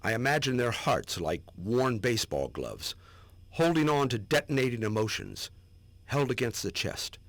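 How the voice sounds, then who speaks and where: Guy doing a proud old war vet remembering, dramatic reading, very lively pitch, dry close sound. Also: moderate pace, no room sound, lightly acted. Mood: somber. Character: proud, remembering male Vietnam war veteran. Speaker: reader. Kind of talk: dramatic reading of veterans' written commentaries.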